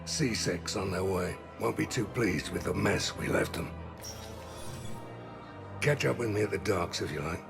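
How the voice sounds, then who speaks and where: An actor playing a gruff, cynical mercenary with a gravelly voice in a video game. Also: male voice, low voice, measured pace, pauses often, music in the background. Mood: brusque.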